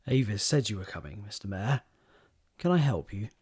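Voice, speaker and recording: An actor playing a courteous, self-deprecating older gentleman sculptor in an audio drama, speaking politely and with gentle affection. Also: on the low side, animated, normal speed, husky. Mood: courteous, modest. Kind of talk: scripted audio drama acting.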